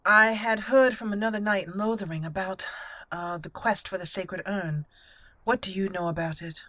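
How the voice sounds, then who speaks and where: A woman hosting a game playthrough, roleplaying aloud with theatrical, deliberate phrasing. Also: medium pitch, pushed and bright, no room sound. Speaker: gaming channel host.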